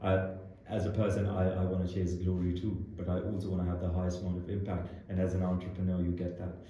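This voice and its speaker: Low, dark-voiced young man in an echoey room. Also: completely flat delivery, fast, lo-fi sound. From Pakistan. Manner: earnest, thinks aloud with restarts and laughs. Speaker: guest founder. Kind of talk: casual interview conversation.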